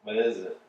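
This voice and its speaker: A man playing a sulky, plaintive male tramp in a play reading, recorded from far off in an echoey room. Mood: weary. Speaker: actor.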